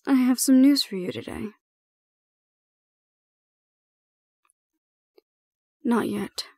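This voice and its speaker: Woman acting as stern, composed supervisor for an audio drama; formal, deliberate delivery, dry close sound. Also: fairly high pitch, darkish tone, dry recording. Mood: measured, stern. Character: composed, stern female supervisor handling a dangerous ward. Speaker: voice actor in audio roleplay. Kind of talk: scripted audio roleplay acting.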